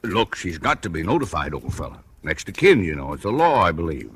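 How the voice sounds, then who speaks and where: Deep, husky, blustering boss. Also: male voice, very animated, brisk, a bit bright, exaggerated voice. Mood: insistent, coaxing. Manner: insistent, coaxing, blustery. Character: blustering, prying male employer. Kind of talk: 1940s radio drama acting.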